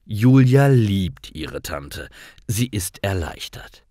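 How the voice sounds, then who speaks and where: A man reading simple scripted stories aloud in a clear, deliberate manner, as a storyteller for language learners. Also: on the low side, big pitch swings, warm tone, dry recording, clean studio sound.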